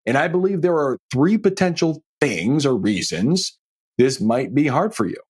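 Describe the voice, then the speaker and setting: A man with a slightly husky voice speaks as a financial planner hosting his own podcast. His pitch moves up and down in a lively way as he makes his points. He is conversational and emphatic, folksy, and keeps checking in with the listener. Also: moderate pace. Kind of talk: conversational solo podcast advice.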